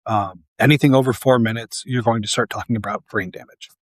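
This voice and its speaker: A man's voice: mid-range voice, fairly even intonation, fairly quick, no echo, clean studio sound. A man who works as a paramedic, talking casually and earnestly to camera, tired and losing his voice.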